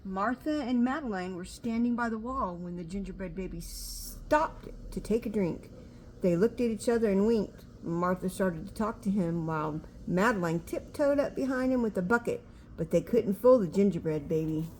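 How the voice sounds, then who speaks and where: A woman's voice: mid-range voice, lively intonation, moderate pace, darkish tone, a little hiss or hum, rough recording. An older woman, a grandmother reading a storybook aloud to a child in a homely, chatty way. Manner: homely, chatty, pointing things out.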